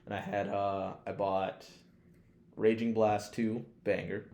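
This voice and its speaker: A man with a low, dark voice co-hosts a casual podcast. He talks in a rambling, slangy, sweary way, like a keen fan. The sound is echoey, as in an untreated room. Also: little pitch movement, slow. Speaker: podcast co-host. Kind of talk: casual chat, profanity-laden.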